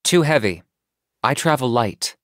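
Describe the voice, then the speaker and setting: Cool, dry young hunter, close studio sound. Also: male voice, medium pitch, a little slow, no room sound. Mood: composed. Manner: deadpan, matter-of-fact, composed. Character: calm pragmatic young male hunter, businesslike, dry. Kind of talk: video game character voice acting.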